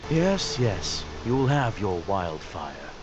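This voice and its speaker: Low-voiced, guarded fantasy hero. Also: male voice, very animated, slow, background noise, exaggerated voice. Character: wry, defiant northern hero disguised as a maester. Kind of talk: dramatic game cutscene acting. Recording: slightly dull sound under loud music.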